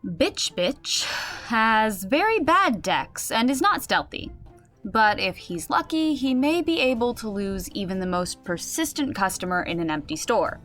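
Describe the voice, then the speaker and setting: A woman's voice: a little high, big pitch swings, a little slow, fairly bright tone, soft background music. A woman playing a wry game master who explains and narrates a comedic tabletop game in an audio drama. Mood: narrating.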